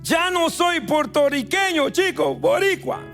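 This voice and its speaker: A man's voice: very high-pitched, animated, vocal fry, a bit bright, faint music behind. A man with a Guatemalan accent preaching a sermon as a pastor, stretching out words for emphasis. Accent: Guatemalan Spanish. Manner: preaching with emphatic drawn-out stresses. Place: church sanctuary.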